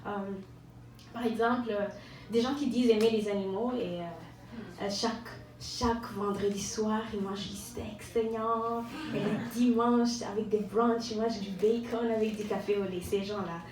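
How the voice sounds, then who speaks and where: A woman from Rwanda performs a self-mocking, ironic stand-up monologue, often pausing and repeating words. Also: medium pitch, steady tone. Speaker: stand-up comedian. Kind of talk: stand-up monologue to a live audience. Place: live venue with an audience. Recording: echoey room, distant mic, audience noise.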